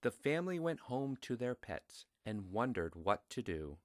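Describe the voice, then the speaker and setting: A man reading a children's story aloud in a deliberate, expressive way. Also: mid-range voice.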